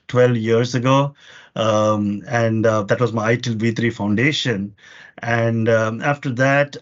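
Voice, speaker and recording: Earnest middle-aged man, muffled audio. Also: medium pitch. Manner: earnest, talks in long lists with many fillers. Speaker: podcast guest. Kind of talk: conversational interview answers.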